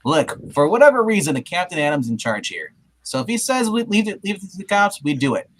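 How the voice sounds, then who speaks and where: A man's voice: high-pitched, very animated, fairly quick, a bit bright, slight background noise, low-quality recording. A man casually reading comic-book lines aloud in a wisecracking way.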